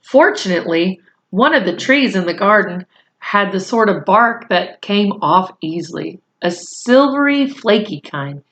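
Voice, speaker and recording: A woman reading a children's novel aloud in a deliberate, measured storytelling manner. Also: mid-range voice, animated, a bit bright. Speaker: storybook reader.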